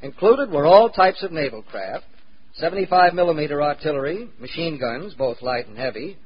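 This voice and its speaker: A man's voice: fairly high pitch, expressive, natural voice. An actor playing a wry, plucky American war correspondent, narrating his experiences in a dramatic 1940s radio style. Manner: dramatic, measured, wry understatement. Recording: muffled, noisy 1940s radio recording.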